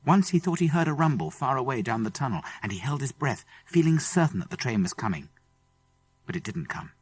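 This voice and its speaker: A man's voice: medium pitch, very animated, fairly quick, slightly rough. A man with a British accent reading a children's adventure story expressively, building suspense as he narrates. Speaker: audiobook narrator.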